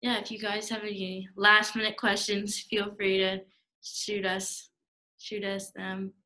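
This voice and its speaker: A woman's voice: medium pitch, flat delivery, unhurried, pushed and bright, low-quality recording. A young woman on a student panel, talking in an easygoing way. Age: young adult. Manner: easygoing, laughs between phrases. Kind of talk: Q&A panel answer.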